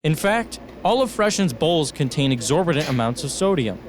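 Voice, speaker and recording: A young American man reads scripted news as a TV reporter. His voice sits a little higher than average for a man, and there is faint noise behind him. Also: very animated, normal speed.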